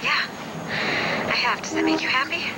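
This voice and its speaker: A woman's voice: high voice, moderate pace, noisy background, close to an everyday voice. An actress playing an anxious, determined expectant mother in a television soap, earnest and hesitant, often pleading. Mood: nervous, resolute. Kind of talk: television soap drama acting.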